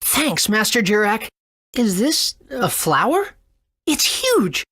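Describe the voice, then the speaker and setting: An actor playing an eager, earnest young boy adventurer in a video game, with an excitable manner. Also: medium pitch, takes it slowly, breathy edge, full character voice. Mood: curious, excited. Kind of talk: video game character acting.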